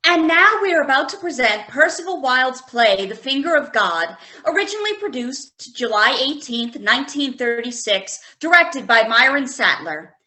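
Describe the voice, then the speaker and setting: A woman's voice: high voice, sing-song, moderate pace, few pauses, bright, forceful tone, poor audio. A woman announcer reading scripted introductions and stage narration for radio plays.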